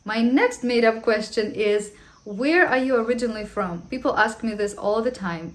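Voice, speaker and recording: A woman's voice: fairly high pitch, lively intonation, moderate pace. Ukrainian-accented woman, chatty Q&A vlogger. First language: Russian. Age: 30s. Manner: chatty and earnest, with the odd filler and slightly non-native phrasing. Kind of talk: casual Q&A monologue.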